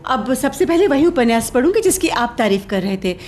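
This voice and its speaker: A woman's voice: a little high, big pitch swings, brisk, a little mellow, a little hiss or hum. An actress playing a proud, principled young woman in a radio play, earnest and formal, firm in her opinions. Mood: politely cool. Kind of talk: Hindi radio play acting.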